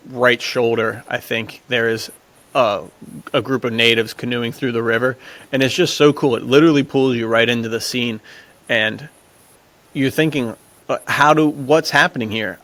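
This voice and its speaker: A man's voice: mid-range voice, steady tone, normal speed, pushed and bright. A man chatting casually as a podcast panelist, talking in an enthusiastic, rambling way. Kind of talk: casual chat.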